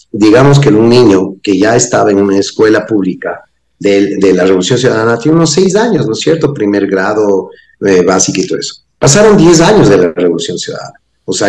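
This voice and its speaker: Guy mocking politicians, lively up-and-down voice, echoey webcam room. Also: lowish voice, brisk. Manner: sarcastic, crude, insulting. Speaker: political analyst guest. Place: home office, indoors. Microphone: webcam on a video call.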